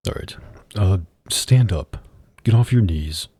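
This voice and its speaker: A man playing a domineering, sardonic mafia boss in an intimate ASMR roleplay, deliberate and commanding, deep and husky, close on a studio microphone. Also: expressive, warm tone, no room sound, heavily acted. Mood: stern, commanding.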